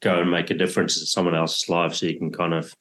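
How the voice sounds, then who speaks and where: Low-voiced man from South Africa, roomy sound. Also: fast talker. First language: Afrikaans. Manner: relaxed, many fillers and restarts. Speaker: podcast guest. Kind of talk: casual storytelling. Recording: roomy echo.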